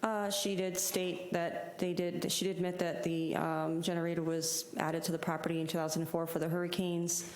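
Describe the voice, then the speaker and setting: A woman code enforcement officer giving a formal, halting case report. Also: fairly low pitch. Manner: flat, formal, halting with fillers. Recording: echoey hall from council mic.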